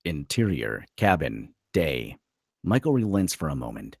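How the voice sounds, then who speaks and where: A man's voice: very deep voice, big pitch swings, unhurried, creaky, darkish tone, no echo, studio quality. A man reading stage directions as dramatic scripted narration at a screenplay table read.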